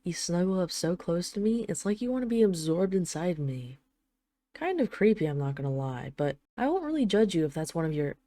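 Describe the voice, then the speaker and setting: A woman's voice: lowish voice, dark tone, no room sound, close to an everyday voice. A woman playing a haughty, teasing dragon queen in an intimate audio roleplay, with an imperious, sarcastic, mock-sweet manner. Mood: wry, teasing. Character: haughty, teasing dragon queen, possessive of her human pet husband. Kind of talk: intimate scripted audio roleplay addressed to the listener.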